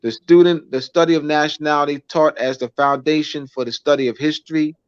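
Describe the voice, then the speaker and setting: A man from the United States, a teacher and author, reads out a lesson outline and then chats casually, halting and repeating words. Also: on the high side, fairly even intonation, smooth, no echo, dull audio.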